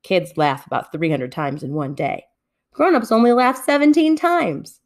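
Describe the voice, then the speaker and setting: A woman reading a children's story aloud with expressive narration. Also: medium pitch, moderate pace. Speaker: storybook reader.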